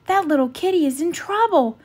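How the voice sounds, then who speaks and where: Woman voicing an eager, high-pitched little bulldog pup. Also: expressive, fairly quick, darkish tone. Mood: excited. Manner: exclaiming, cutesy baby talk. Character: eager, tender-hearted young male bulldog rescue pup.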